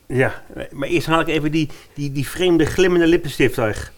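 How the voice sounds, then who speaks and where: A man's voice: a little high, normal speed, natural voice. A man playing a protective young personal trainer in a radio play. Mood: earnest. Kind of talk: Dutch radio play acting.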